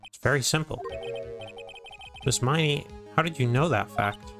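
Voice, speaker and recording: A man voicing an earnest, determined young defense lawyer in an amateur courtroom game fan dub, with a deliberate, accusing manner. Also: mid-range voice, gravelly, a bit bright, faint music behind. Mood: methodical, pressing.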